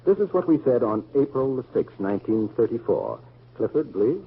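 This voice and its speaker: A man's voice: medium pitch, sing-song, normal speed, a little hiss or hum. An actor playing an earnest family man in a 1940s radio drama, making formal, emphatic speeches. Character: earnest, oratorical family man championing the American home. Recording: narrow, tinny old radio recording.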